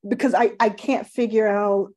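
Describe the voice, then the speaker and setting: A woman's voice: medium pitch, fairly even intonation, normal speed, warm tone. A middle-aged woman with a Texan accent hosting a casual video call interview, curious and enthusiastic. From Texas. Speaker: interview host. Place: home room indoors. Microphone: webcam, video call.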